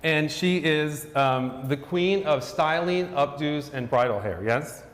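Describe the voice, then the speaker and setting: A man's voice: a little high, slow. Upbeat man hosting in an echoey studio. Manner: upbeat, promotional. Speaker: host. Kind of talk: live-stream introduction. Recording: echoey studio with long reverb.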